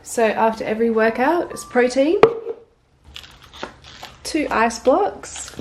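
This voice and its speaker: A woman, a little croaky from illness, narrating her daily routine in a soft, unhurried, reflective way. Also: mid-range voice, background noise. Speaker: lifestyle vlogger. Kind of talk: casual voice-over narration. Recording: voice-over with loud background music.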